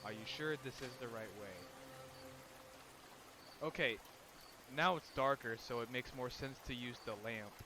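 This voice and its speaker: A sniffly young man with a cold mumbles and swears his way through a game in a casual let's-play. His voice sits at an ordinary middle pitch, and clear background hiss runs under the noisy home recording. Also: a little slow, pauses often, sharp tone. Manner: mumbling, swears often, reads game lines aloud. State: sick and sniffly. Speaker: gaming youtuber.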